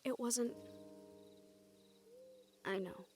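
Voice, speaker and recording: A woman acting an affectionate young fantasy fighter in an intimate audio roleplay, speaking softly with tender, playful warmth and an undertow of grief. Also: on the high side, drawn-out words, soft background music. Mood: grieving, tender. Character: affectionate young woman, supernatural fighter, grieving yet teasing.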